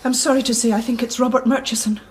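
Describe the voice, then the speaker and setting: Radio drama support: worried, apologetic woman played by a woman, fairly high voice, faint background noise. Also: steady tone, fast, lightly acted. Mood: grave, worried. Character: anxious, apologetic woman bringing worrying news.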